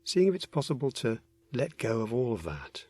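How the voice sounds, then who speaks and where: A man narrating a guided meditation, giving gentle, unhurried instructions with many pauses. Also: medium pitch, sing-song, slightly rough, dry recording.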